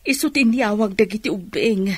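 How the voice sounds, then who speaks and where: A woman's voice: medium pitch, normal speed, darkish tone, breathy edge, no echo, natural voice. A woman playing a worried, homely older mother in a radio drama, chatting and explaining, with an Ilocano accent.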